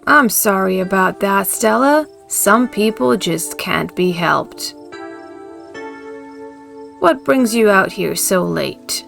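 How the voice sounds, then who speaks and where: Actress as a motherly older woman, unhurried slow delivery, crackly voice, hospitable, visual novel character. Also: medium pitch, lively intonation, creaky, fairly bright tone, faint music behind, exaggerated voice. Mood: gracious, welcoming. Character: kindly, hospitable older small-town woman, firm when needed. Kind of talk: visual novel fan dub.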